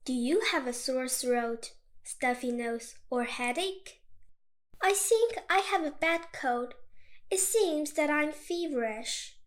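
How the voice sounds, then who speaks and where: Woman, high voice, even clear dictation reading, each sentence read twice. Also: takes it slowly. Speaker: dictation reader.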